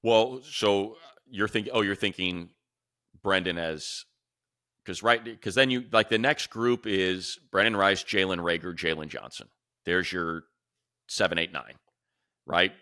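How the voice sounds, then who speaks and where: A man co-hosting a sports talk show, giving casual analysis and thinking out loud as he runs through lists of names. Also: deep voice, measured pace, dry recording, clean studio sound.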